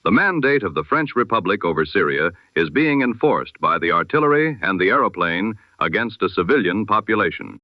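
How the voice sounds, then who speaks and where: A man's voice: lowish voice, big pitch swings, brisk, hardly any pauses, no room sound. A man reading a scripted news bulletin as a formal, solemn announcer in the style of 1920s radio, through an old radio filter. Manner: formal, solemn, rhetorical delivery. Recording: dull lo-fi old radio sound.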